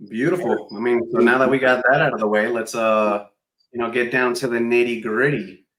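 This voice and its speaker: A man's voice: mid-range voice, fairly even intonation, brisk, a bit bright, rough recording. A man co-hosting a casual podcast, blunt and a little crude as he leads the segment. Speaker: podcast co-host. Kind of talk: casual chat.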